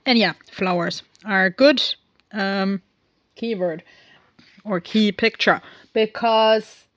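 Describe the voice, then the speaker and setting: A woman with an unhurried, drawn-out delivery talks through a film in casual recap mode, pausing often and drifting into tangents. She speaks English as a second language. The sound is dry and close, with no room echo. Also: medium pitch, fairly even intonation, fairly bright tone. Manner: rambling, goes off on tangents; hesitant, many pauses and fillers. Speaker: film reviewer.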